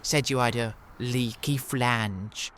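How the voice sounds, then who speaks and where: A man's voice: medium pitch, steady tone, takes it slowly, fairly bright tone, pitched below the performer's own voice. A man voicing a polite, deferential British plumber, courteous and matter-of-fact, in an audio play. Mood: dutiful, polite.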